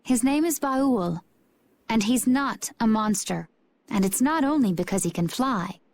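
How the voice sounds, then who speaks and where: A woman's voice: fairly high pitch, lively intonation, measured pace, darkish tone. A woman voicing a cool, enigmatic young warrior woman in a video game, composed and understated. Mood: calm, guarded.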